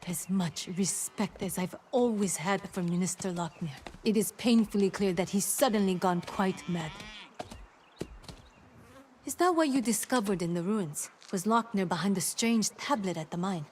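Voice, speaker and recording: A woman's voice: mid-range voice, moderate pace, darkish tone, slight background noise, natural voice. A woman playing an earnest, worried ally in a fantasy video game, with formal, articulate speech.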